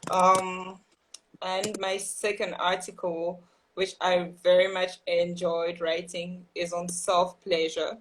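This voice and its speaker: A woman's voice: on the low side, little pitch movement, takes it slowly, slight background noise, low-quality recording. Earnest young woman from Burkina Faso. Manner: earnest, thoughtful, with frequent ums and restarts. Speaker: guest writer. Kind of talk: casual live-stream conversation.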